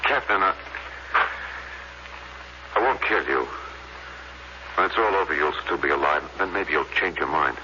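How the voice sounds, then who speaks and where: Idealistic outlaw explorer, very low male voice, sharp and intense, vintage radio drama, dull recording. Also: expressive, moderate pace, pauses often, slight background noise, heavily acted. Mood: tense, resigned. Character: doomed, idealistic renegade space explorer, a grown man. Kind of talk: 1950s radio drama acting.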